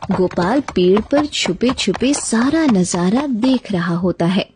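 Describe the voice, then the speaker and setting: Slow, mellow woman storyteller. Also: mid-range voice, lively intonation, a little hiss or hum. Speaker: narrator. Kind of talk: scripted children's story narration.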